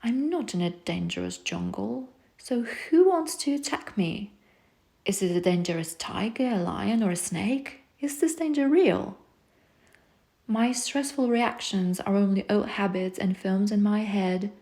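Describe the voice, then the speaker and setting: A woman reading rhyming self-help poems aloud in a soft, soothing, unhurried way. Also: medium pitch, animated, vocal fry. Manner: soft, soothing, slow recitation. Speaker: poetry reader.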